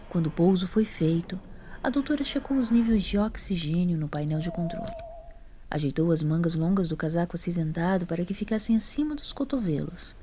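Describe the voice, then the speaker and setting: A woman's voice: on the low side, mellow, slight background noise. A woman narrating a scripted story as the narrator of an audio drama.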